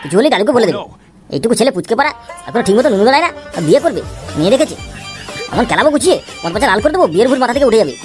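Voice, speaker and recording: Woman playing exasperated cartoon father, extremely fast, high-pitched, grumbling and wheedling, music behind. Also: very animated. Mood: angry, exasperated. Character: exasperated foul-mouthed father, sly and falsely coaxing. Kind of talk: comic cartoon dialogue.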